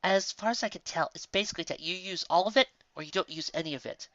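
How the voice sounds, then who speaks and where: A woman's voice: on the low side, quick pace, a bit bright, no room sound, muffled recording, voice pushed up, close to an everyday voice. A woman voicing a thoughtful, studious young female unicorn in a storybook reading, earnest and explanatory. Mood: earnest, pensive.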